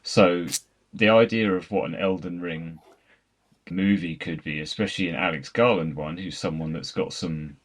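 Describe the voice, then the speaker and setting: Very low-voiced man in podcast chat. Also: moderate pace. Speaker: podcast guest, co-host of a gaming podcast. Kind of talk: casual podcast discussion.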